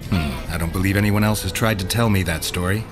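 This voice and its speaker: An actor playing a grim, stoic witcher in a video game, speaking tersely in a gravelly, understated voice. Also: deep voice, fast talker, close to an everyday voice. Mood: grim, matter-of-fact. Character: stoic monster-hunting witcher, grim grown man. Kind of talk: video game cutscene acting. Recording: loud music behind the voice.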